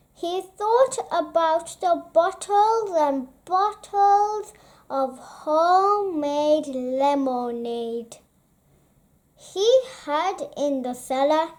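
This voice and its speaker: A woman reading a children's bedtime story aloud as an expressive narrator. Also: high voice.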